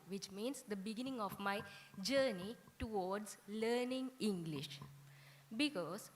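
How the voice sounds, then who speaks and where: A woman whose first language is Sinhala, giving an unscripted guest speech at an awards ceremony. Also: fairly high pitch. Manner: unscripted, warm address with pauses and restarts. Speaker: guest speaker. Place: ceremony hall with audience.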